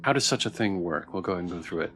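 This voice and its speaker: A man's voice: low voice, lively intonation, racing. A man giving casual livestream commentary as he plays a game, sometimes reading choices aloud. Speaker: streamer playing a game.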